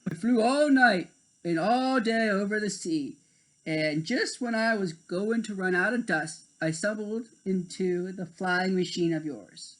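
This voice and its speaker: A woman's voice: mid-range voice, measured pace, lightly acted, poor audio. A woman voicing a brave, loyal young male fairy in a children's storybook, speaking earnestly. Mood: breathless relief. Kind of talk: children's storybook character reading.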